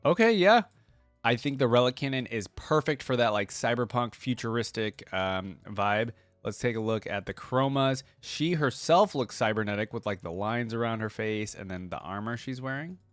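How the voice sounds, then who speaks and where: A man's voice: mid-range voice, animated, moderate pace, dry recording, soft background music. A man hosting an off-the-cuff reaction video, talking informally and enthusiastically as he thinks aloud. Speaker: reaction video creator and host. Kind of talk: casual off-the-cuff reaction commentary.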